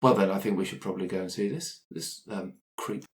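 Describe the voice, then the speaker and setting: A man's voice: deep voice, brisk, natural voice. A man improvising a fussy, anxious, polite otherworldly character in a roleplay, hesitant and rambling, often interrupting himself. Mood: curious. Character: fussy, anxious, polite otherworldly being who loves his cat. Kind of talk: improvised roleplay for an actual-play podcast.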